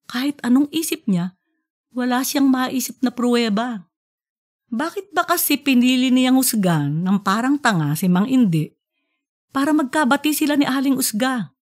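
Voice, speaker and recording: Woman, high-pitched voice, scripted storytelling, audiobook podcast narrator.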